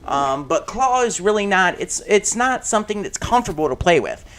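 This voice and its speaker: A man with a slightly high, raspy voice talks through a shooter game session in a loose, rambling way. He piles on fillers and restarts, and breaks off into loud shouts at the action. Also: lively intonation, normal speed, a little hiss or hum. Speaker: gaming channel host. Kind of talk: casual commentary while playing a shooter game.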